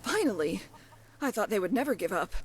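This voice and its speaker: A woman's voice: medium pitch, sing-song, fairly quick, a little hiss or hum, natural voice. A woman playing a glib, evasive thief companion in a fantasy video game, talking in a wry, coy way. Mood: wry, relieved. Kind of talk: scripted video game dialogue.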